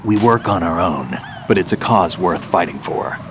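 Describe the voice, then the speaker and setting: Game veteran soldier: very low, gruff, earnest idealist, noisy backdrop. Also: male voice, expressive, moderate pace. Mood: reflective. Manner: terse, earnest. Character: world-weary, gruff veteran male soldier, idealistic loner. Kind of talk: dramatic video game acting. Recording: clear background noise.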